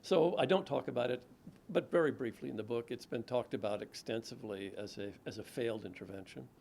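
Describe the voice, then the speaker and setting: A middle-aged man speaks as an author fielding audience questions. His voice carries a gravelly edge. He talks in a measured, reflective way and restarts his sentences often. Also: a little mellow, a little hiss or hum. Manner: measured, thoughtful, with frequent restarts and low murmured "mm-hmm" while listening. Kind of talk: lecture Q&A answers.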